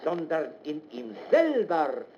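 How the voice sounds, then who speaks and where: Muffled, high-voiced, solemn preaching patriarch. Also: male voice, huge pitch swings, slow, exaggerated voice. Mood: solemn exhortation. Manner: solemn, declamatory. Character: stern, preaching paternal authority demanding obedience. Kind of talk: German radio drama acting, oratorical. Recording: muffled, noisy recording.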